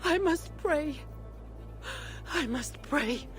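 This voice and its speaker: A worried, devout medieval wife in a video game. Her voice is high and halting, slow and pleading, over faint music. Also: animated, full character voice. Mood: distraught, worried. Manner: fretful, hesitant, pleading. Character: anxious, guilt-ridden, devout medieval wife. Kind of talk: video game dialogue acting.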